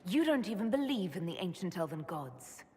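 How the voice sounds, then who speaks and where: A woman's voice: lowish voice, sing-song, a little fast, darkish tone, a little hiss or hum. An actress playing a young female elf leader in a game cutscene, speaking in a dry, matter-of-fact way. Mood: dry, even. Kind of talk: video game cutscene dialogue. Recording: game audio, faint ambience.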